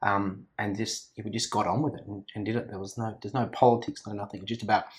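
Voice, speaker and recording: A man's voice: lowish voice, level tone, quick pace. An Australian man, a company founder, chatting energetically in an interview, rambling with lots of restarts and fillers. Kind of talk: casual interview chat. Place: office.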